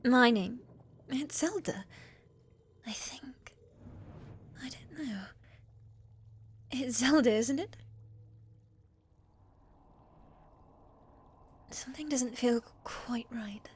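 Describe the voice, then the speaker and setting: Woman acting a timid apprentice witch, highish voice, soft, eager, hesitant, deferential, fantasy ASMR roleplay, background ambience noise. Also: measured pace, close to an everyday voice. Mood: confused, uneasy. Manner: soft, hesitant, deferential, often drops to whisper. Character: shy, eager, forgetful young witch's apprentice girl.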